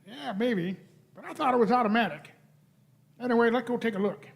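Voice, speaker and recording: A woman's voice: fairly high pitch, fairly quick, gravelly, slightly rough. A woman playing a plucky, informal young time-travelling companion in a radio play, talking casually and colloquially. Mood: puzzled. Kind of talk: amateur radio play performed live.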